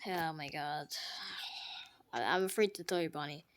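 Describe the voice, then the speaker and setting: A woman giving jokey gameplay commentary, trash-talking the game characters and swinging from hushed mutters to sudden loud outbursts. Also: low-pitched, normal speed. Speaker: gamer.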